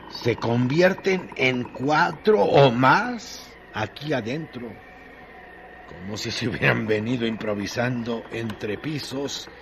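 Very slow male radio-drama narrator, noisy recording. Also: medium pitch. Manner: deliberate, dramatic phrasing with pauses. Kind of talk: slow literary scripted narration. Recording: noisy recording with clear background noise.

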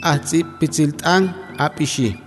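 A man in his forties from Tabasco, Mexico, whose first language is Yokot'an, reading his poetry in slow, measured recitation. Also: on the high side. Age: 40s. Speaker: poet. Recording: long reverb with faint music underneath.

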